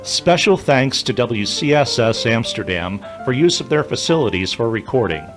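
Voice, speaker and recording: A man's voice: mid-range voice, very animated, moderate pace, over music. A man narrating an audio drama from a script in the third person.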